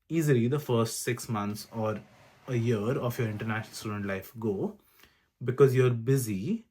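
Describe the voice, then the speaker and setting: A man in his twenties, from India, giving earnest, casual advice to camera. He lists things one by one and fills pauses with frequent ums. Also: medium pitch. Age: 20s. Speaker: vlogger sharing advice. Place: room with awards on display.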